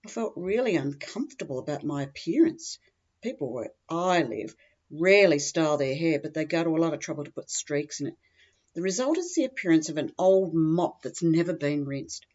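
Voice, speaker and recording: A woman's voice: sits low, lively intonation, moderate pace, darkish tone, dull audio. A woman with an Australian accent reading a scripted humorous first-person story in a dry, matter-of-fact comic way. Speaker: humorous writer reading their own story.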